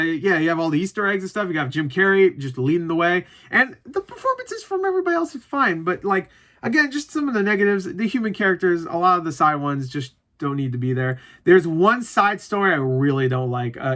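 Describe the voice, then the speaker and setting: A man with a bright, punchy voice pitched a little high talks casually as a reviewer. He rambles through his opinions without a script, doubles back to correct himself and swears freely. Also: very animated, brisk. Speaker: movie reviewer. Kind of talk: casual unscripted review chat to viewers.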